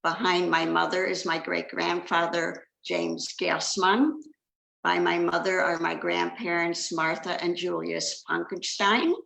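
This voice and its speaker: An older woman, born in China, gives a measured, scripted talk as a second generation Holocaust speaker. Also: lowish voice, little pitch movement, gravelly, a bit bright. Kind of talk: prepared talk with slides. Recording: echoey room.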